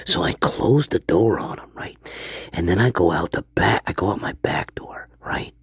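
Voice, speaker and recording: A man voicing a crude, foul-mouthed storyteller named Stanley, rambling and swearing, often dropping into a hoarse whisper. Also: sits low, expressive, normal speed, dry recording, clean studio sound, voice pushed down, exaggerated voice. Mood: conspiratorial. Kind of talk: improvised character monologue.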